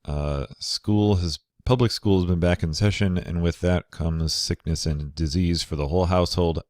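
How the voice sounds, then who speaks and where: A man teaching a programming course over slides, sounding nasal and a bit crackly from a cold, talking in a relaxed way with many fillers. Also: sits low, moderate pace, no echo, clean studio sound. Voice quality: slightly crackly. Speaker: programming instructor. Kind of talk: recorded lecture over slides.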